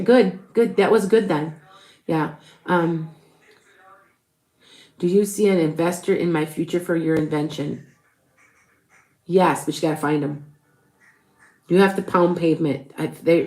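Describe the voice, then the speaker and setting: A woman's voice: fairly low pitch, echoey room. A woman with a Chicago accent, a tarot reader chatting loosely on a live stream and reading out viewers' questions as she rambles along. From Chicago area, with Wisconsin roots.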